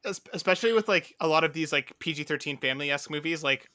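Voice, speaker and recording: Male podcast co-host, bright slightly high voice, casual animated storyteller, dry close sound. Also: fast talker, dry recording. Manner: animated storyteller, peppers talk with "right?".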